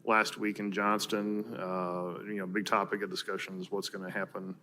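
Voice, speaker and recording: An American man giving an informal meeting report in a halting way, with frequent fillers. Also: low-pitched, little pitch movement, bright, forceful tone. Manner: halting, filler-heavy delivery. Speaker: mayor giving board updates at a council meeting. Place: large council chamber, indoors. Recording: clear background room noise from meeting chamber.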